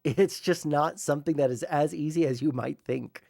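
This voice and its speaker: A man's voice: on the high side, normal speed, gravelly, no echo. Give me a man chatting loosely on his stream, full of fillers, in a dry, dead room. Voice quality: naturally loud voice. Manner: rambling, swearing, lots of fillers. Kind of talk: casual livestream chat. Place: room hung with soundproofing blankets. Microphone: streaming mic with gain turned low.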